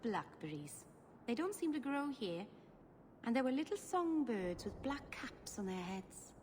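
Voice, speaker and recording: A woman's voice: on the high side, very animated, measured pace, darkish tone, reverberant, a little hiss or hum. A woman voicing a sweet, naive young woman in a video game, chatty and innocently apologetic. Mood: wistful, chatty.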